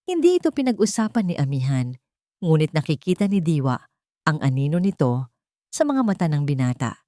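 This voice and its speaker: A woman's voice: sits low, darkish tone, dry recording, studio quality. A woman with a Filipino accent narrating a dramatic scripted love story.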